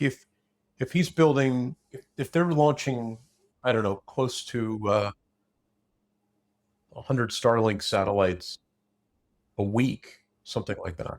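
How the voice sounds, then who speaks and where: A man's voice: on the low side, creaky. A man in a casual unscripted discussion, opinionated and blunt, rambling through broken-off sentences.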